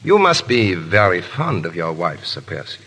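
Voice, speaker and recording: A man's voice: low-pitched, very animated, normal speed, pushed and bright, husky, heavily acted. A man acting a cold, scheming French revolutionary villain in a 1930s radio drama, clipped and coldly formal. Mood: coldly polite. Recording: reverberant, noisy 1930s radio broadcast.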